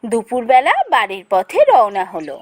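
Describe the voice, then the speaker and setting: A woman's voice: on the high side, big pitch swings, moderate pace, a little mellow. A woman narrator reading a scripted fairy tale. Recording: music behind the voice.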